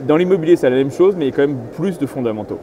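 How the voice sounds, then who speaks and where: A man's voice: a little high, animated, very fast. A middle-aged man giving spontaneous interview answers as a property consultant, explaining things step by step. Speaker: real estate agent and consultant being interviewed. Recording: clear urban background noise, trade fair interview.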